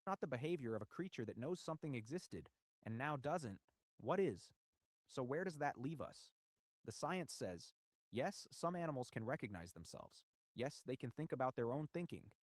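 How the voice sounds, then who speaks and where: A man reading scripted documentary narration with measured, rhetorical, dramatic phrasing. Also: medium pitch, big pitch swings, no room sound. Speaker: video essay narrator.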